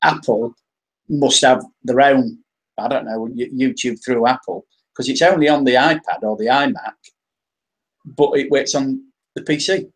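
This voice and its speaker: Husky english guy chatting on a live stream, lots of stops and restarts, middling pitch. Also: measured pace, rough recording. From England. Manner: hesitant, repeats words and restarts. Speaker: interview guest. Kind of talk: casual live-stream chat.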